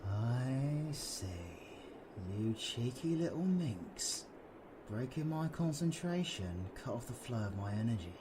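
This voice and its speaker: A man playing a cocky, menacing, flirtatious British supervillain in an intimate roleplay audio drama, breathy and taunting. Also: mid-range voice, lively intonation, takes it slowly, noisy background. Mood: threatening. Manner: taunting, breathy, swings between whispering and shouting.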